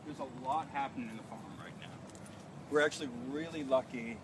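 A man's voice: mid-range voice, brisk. A man in his thirties, a farm manager, cheerfully explaining vegetables while walking through an outdoor garden, filmed on a phone. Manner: enthusiastic, explanatory, walking and pointing at plants. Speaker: urban farm manager giving a tour. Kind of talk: live guided tour. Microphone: phone camera a few feet away. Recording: outdoor phone recording with noise.

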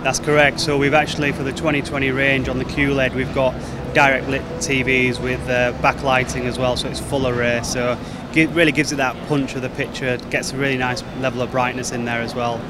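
A man in his thirties talks up his company's TVs in a smooth, promotional way, his pitch sitting in an ordinary middle range. He is recorded on a handheld mic at a crowded trade show, with plain, steady hall noise running behind him. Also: brisk. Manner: fluent, promotional, product-focused. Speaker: company marketing manager. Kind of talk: trade show interview answers. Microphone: handheld mic held by interviewer. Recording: noisy trade show floor. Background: crowd chatter and hall noise.

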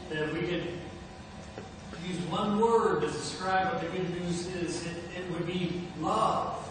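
A middle-aged man, a pastor, preaching a sermon in a measured, deliberate way with pauses. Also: high voice. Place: indoors at a church pulpit. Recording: echoey hall, distant mic, noisy background.

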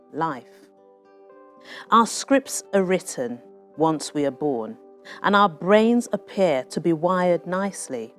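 A middle-aged woman, an author reading aloud from her own memoir in a measured, expressive way. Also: on the low side. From Nigeria. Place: small TV studio with bookshelves.

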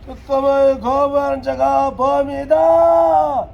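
A man at a street protest, yelling insults with drawn-out angry cries outdoors. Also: extremely high pitch, flat delivery, creaky, dull audio. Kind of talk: shouted protest rant.

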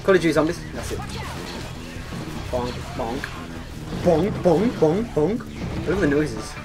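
An excitable young man giving casual commentary while playing a co-op video game with friends. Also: on the high side, measured pace. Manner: excitable, exclaiming. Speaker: gaming video host. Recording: game sound and music behind voice. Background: video game sound and music.

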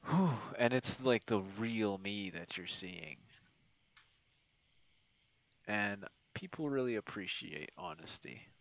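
A man chatting casually in a late-night ASMR style, rambling and halting in an informal way. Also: fairly low pitch, animated, gravelly. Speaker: ASMR roleplay creator. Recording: dull, muffled lo-fi audio.

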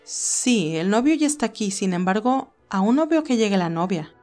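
A woman voicing genteel, gossipy high-society party guests in a romance story. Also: expressive, normal speed, darkish tone, soft background music, natural voice. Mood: excited gossip.

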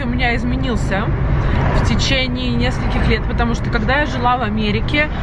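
A woman's voice: a little high, background noise. A young woman from Latvia chatting animatedly to her viewers, filmed on a phone held at arm's length on a street, in shops and in a car. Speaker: vlogger. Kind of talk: casual vlog to camera. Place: city street and shop, then parked car. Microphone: phone camera at arm's length.

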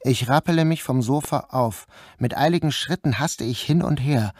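An actor playing a troubled grown son who narrates his own story in an introspective, measured literary style. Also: mid-range voice, a little mellow, dry recording, clean studio sound, close to an everyday voice. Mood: brooding, tense. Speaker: first-person narrator and protagonist. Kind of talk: literary audio drama narration.

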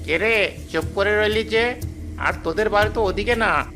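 Cartoon village man, friendly neighbour played by a man, sharp high voice, chatty delivery. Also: moderate pace, faint music behind. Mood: puzzled, helpful. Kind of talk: children's cartoon voice acting.

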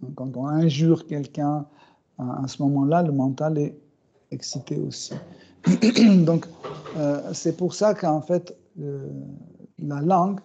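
A middle-aged man giving a scripture class in a small room, speaking slowly and hesitantly with many fillers and soft chuckles. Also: fairly high pitch, steady tone, creaky, slight background noise. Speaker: spiritual teacher. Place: small room with bookshelves, indoors. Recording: dull, muffled recording.

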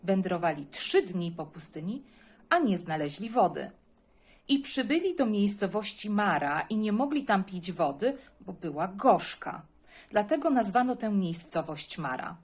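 A woman's voice: mid-range voice, big pitch swings, a little slow. A woman introducing and reading a Bible passage aloud for children.

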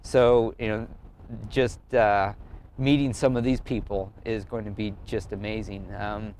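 A man talking casually in an earnest, halting way, thinking aloud as he tells a personal story in an interview. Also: lowish voice, little pitch movement, a little mellow, slight background noise. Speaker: amateur cyclist and blogger being interviewed.

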